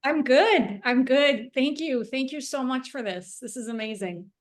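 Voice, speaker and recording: Enthusiastic American woman on a call. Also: sits high. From United States. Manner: enthusiastic, halting with fillers. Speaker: caller joining a cook-along. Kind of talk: casual video-call chat. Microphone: video call.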